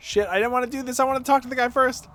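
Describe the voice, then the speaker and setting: A man streaming a video game, reading dialogue aloud and exclaiming excitably as he plays. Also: very high voice, very fast, a little hiss or hum. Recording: game music behind the voice.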